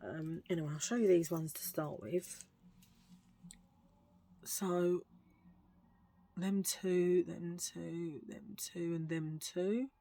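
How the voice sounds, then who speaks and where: Husky-voiced chatty woman. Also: fairly even intonation, takes it slowly, long pauses, warm tone. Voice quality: husky, low voice. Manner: rambling, self-mocking, chatty with fillers. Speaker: vlogger showing a thrift haul. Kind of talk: casual chatty haul video.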